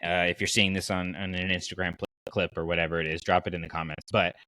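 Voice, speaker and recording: A man hosting a casual fan podcast, talking in an animated, rambling way as he thinks aloud. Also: deep voice, fast talker, no room sound. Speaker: podcast host.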